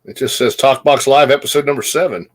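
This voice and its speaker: A man co-hosting a live streamed chat, relaxed and self-deprecating as he talks. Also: medium pitch, brisk. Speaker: co-host.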